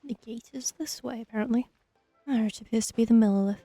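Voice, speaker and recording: Wry, mellow-voiced woman gaming. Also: fairly high pitch, fast, no echo, faint music behind. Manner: wry, dry remarks. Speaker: gaming streamer. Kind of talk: casual let's play commentary.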